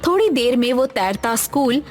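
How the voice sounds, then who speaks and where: A woman narrates a children's story from a script. Her voice sits a little high. Light music plays behind her. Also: lively intonation, normal speed.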